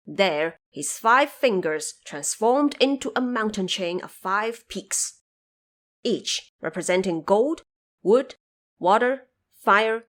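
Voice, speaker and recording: Woman narrator, mid-pitched, very lively intonation, theatrical, deliberate phrasing, scripted fantasy storytelling. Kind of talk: scripted dramatic narration of a classic fantasy tale.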